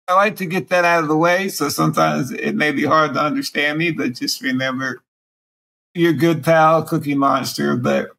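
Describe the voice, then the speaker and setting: Man, 40s, raspy and gravelly, halting delivery through a breathing machine, interview guest over video call. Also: a little high, steady tone, sharp tone. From Alabama. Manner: speaks around a breathing machine mouthpiece, pausing often. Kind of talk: candid personal interview. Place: small room at home, indoors.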